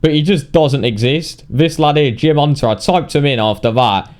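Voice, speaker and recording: A British man giving casual, sweary, mock-indignant commentary on video game highlights. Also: moderate pace, darkish tone, faint music behind. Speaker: gaming video narrator.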